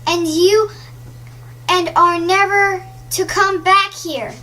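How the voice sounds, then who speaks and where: Woman as a very high-pitched, eager little girl. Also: steady tone, slow, bright, forceful tone, exaggerated voice. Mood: gleeful, eager. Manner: eager, childish. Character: tiny, gleeful, affectionate little girl, devoted sister. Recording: clearly noisy audiobook recording.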